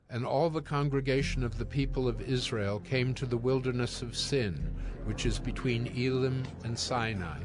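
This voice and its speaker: A man narrating scripture as scripted audio, with formal, measured storytelling. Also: mid-range voice.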